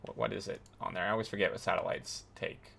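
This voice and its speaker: Hoarse, enthusiastic man touring a game base. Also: deep voice, steady tone, fast, a bit bright. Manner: enthusiastic, rambling with many fillers. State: hoarse, losing his voice. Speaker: gaming video host. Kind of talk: casual game tour commentary.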